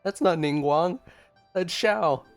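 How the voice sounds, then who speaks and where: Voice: mid-range voice, takes it slowly. A gameplay streamer giving casual, playful reaction commentary. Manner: playful, giggly, echoes words. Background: game music.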